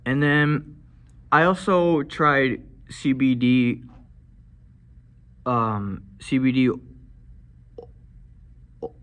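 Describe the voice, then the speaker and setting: A young man with a stutter, talking casually in a personal vlog, soft-spoken with many fillers, into a small handheld mic in a classroom. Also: mid-range voice, fairly even intonation, drawn-out words, pauses often. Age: young adult. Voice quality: stutters, with blocks and repeated sounds. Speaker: vlogger. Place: indoor classroom. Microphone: handheld lavalier mic held near mouth.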